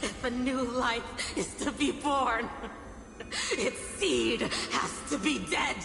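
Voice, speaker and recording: A woman's voice: fairly high pitch, takes it slowly, gravelly. A woman voicing a terrified, broken memory thief in a video game, pleading and distraught as she slides into madness. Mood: unhinged, mad. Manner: pleading, distraught, unhinged delivery. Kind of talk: dramatic video game voice acting. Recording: echoey long reverb, music behind.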